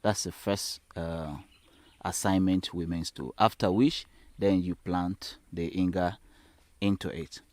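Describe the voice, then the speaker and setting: A man's voice: sits low, fairly even intonation, slow pace, a little mellow, no room sound. A man with a Sierra Leonean accent explaining practical farming steps as a trainer in an instructional film. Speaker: agricultural trainer.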